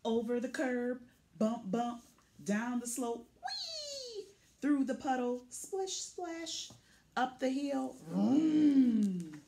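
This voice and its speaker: A woman's voice: sits high, very animated, very slow, dark tone, slight background noise, full character voice. A woman voicing a boastful, plucky little pickup truck from a children's picture book, punchy and full of vocal sound effects. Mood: determined.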